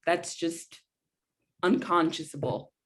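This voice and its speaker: Lower-voiced, disapproving woman, acted. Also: takes it slowly. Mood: grave disapproval. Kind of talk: documentary theater dialogue, conversational.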